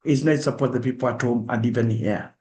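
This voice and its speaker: A man's voice: mid-range voice, steady tone, fast talker, mellow. A middle-aged man with a Kenyan accent giving an earnest, direct public talk and acting out examples in the first person. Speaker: speaker at a diaspora awareness event. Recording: echoey room.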